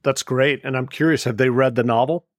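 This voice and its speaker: A man's voice: mid-range voice, fairly even intonation, fairly quick, no room sound, studio quality. A man interviewing an author with brief, curious questions.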